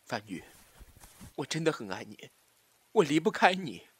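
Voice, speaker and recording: An actor playing an obsessive, scheming jilted lover in a Chinese audio drama, swinging from soft pleading to taunting menace. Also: male voice, mid-range voice, big pitch swings, moderate pace, slightly rough, no echo. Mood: plaintive coaxing.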